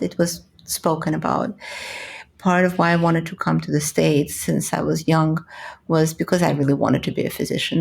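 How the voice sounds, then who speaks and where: Gravelly low-voiced Ukrainian-born woman. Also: darkish tone. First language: Russian. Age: middle-aged. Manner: candid, storytelling. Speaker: guest physician. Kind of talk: podcast interview.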